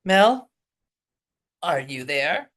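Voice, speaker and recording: A woman's voice: deep voice, very animated, extremely slow. A woman leading an online meeting on a video call, calling on people by name with short prompts. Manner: brief, prompting others by name. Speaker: meeting facilitator.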